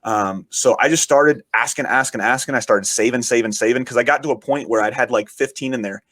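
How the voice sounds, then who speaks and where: A man, a podcast guest who is also a podcast host, talking in rambling, enthusiastic casual chat, his voice raspy from shouting. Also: medium pitch, a little fast, dry recording. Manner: rambling, enthusiastic, frequent swearing.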